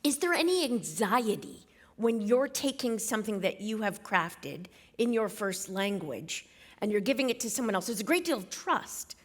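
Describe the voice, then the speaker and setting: A woman hosting an onstage author interview at a library event, self-deprecating and quick to laugh, on a stage mic. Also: mid-range voice, sing-song. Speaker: interview host. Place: public library event hall.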